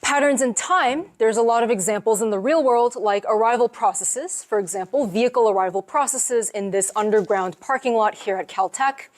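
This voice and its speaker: A woman from the United States giving an academic seminar lecture as a professor, explaining her lab's work in a relaxed way with frequent fillers. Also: a little high, moderate pace, hardly any pauses.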